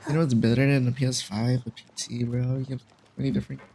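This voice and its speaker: A man's voice: mid-range voice, level tone, fast talker, vocal fry, mellow, slight background noise. A man chatting casually on a livestream in a teasing, slangy way, saying bro often. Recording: music playing behind the voice. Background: video game music.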